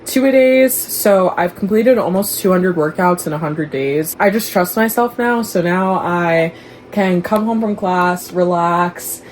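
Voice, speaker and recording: Low-voiced chatty young woman vlogging. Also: unhurried, a little hiss or hum. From Dallas, Texas. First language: Igbo. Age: young adult. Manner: chatty, candid, rambling. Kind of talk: casual vlog chat to camera.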